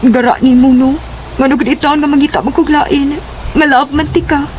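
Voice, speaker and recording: A woman's voice: sits high, fairly even intonation, brisk, darkish tone, slight background noise, natural voice. A woman playing a shy, devoted young sweetheart in Cebuano radio drama, with a Cebuano accent. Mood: tender, uneasy.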